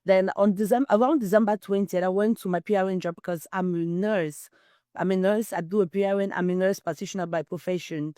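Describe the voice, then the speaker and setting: A middle-aged woman from Cameroon tells her own story as an interview guest, earnest and full of conviction. Her voice sits at an ordinary pitch for a woman. The sound is dry, with no room echo. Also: steady tone, a little fast, warm tone. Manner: earnest, testimonial, quotes herself often. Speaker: guest, wellness distributor.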